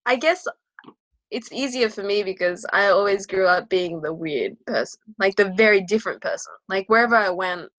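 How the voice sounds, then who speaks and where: A woman's voice: mid-range voice, normal speed, a bit bright, no echo. A young woman from Australia, a powerlifter guest, who talks in a hesitant way with many fillers and laughs easily. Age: young adult. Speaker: guest, powerlifter and university student. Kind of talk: casual interview chat.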